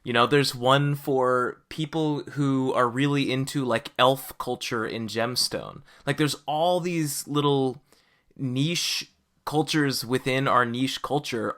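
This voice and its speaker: A man's voice: mid-range voice, fairly bright tone. A man hosting a podcast in casual conversation, explaining things in a conversational way. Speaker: podcast host.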